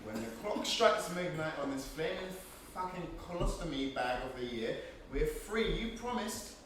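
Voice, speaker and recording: A man's voice: on the high side, animated, normal speed, a bit bright. An actor playing a desperate, foul-mouthed young British man held captive, exasperated, in a stage drama. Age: young adult. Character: Leon, desperate, sweary young male captive. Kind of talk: theatrical stage drama dialogue. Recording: very echoey, distant mic, faint noise.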